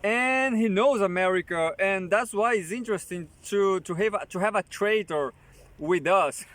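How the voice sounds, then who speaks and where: A man hosting his own channel, casually reading an article aloud with halting restarts and joking asides. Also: high-pitched, fairly bright tone, a little hiss or hum.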